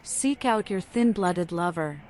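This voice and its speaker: A woman's voice: on the low side, animated, a little slow, a little mellow, a little hiss or hum. Woman as cryptic, theatrical mad vampire woman. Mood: solemn. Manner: riddling, poetic, theatrical. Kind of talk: video game mod voice acting.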